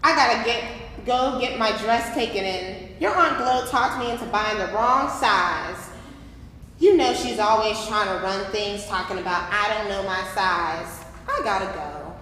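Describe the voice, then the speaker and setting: A woman's voice: mid-range voice, measured pace, bright, forceful tone. A woman playing a doting, outspoken mother in a staged play reading, coaxing and folksy, with an emphatic delivery. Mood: subdued, tender. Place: live staged reading in a reverberant room. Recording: very echoey hall, some background noise.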